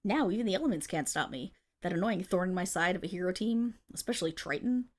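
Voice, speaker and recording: A woman's voice: fairly low pitch, expressive, fast talker. A woman playing a theatrical but bashful, lovestruck female supervillain in an ASMR roleplay audio drama. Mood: eager, proud. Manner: theatrical villain declaiming that flips into flustered, affectionate chatter.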